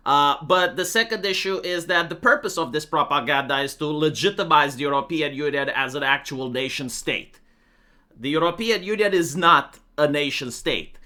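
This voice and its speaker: A young man with a Romanian accent talks through his political views in a loose, opinionated monologue. His voice is very bright and sits a little high, and he leans on plenty of "uh"s and "like"s as he goes. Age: young adult. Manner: opinionated, matter-of-fact, many fillers. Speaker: political commentator.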